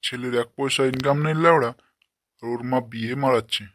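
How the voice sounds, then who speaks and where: A man voicing a short-tempered, foul-mouthed village father in a comic cartoon, gruff and scolding. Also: medium pitch, a little fast, a bit bright, no echo, close to an everyday voice. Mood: grumbling, mocking.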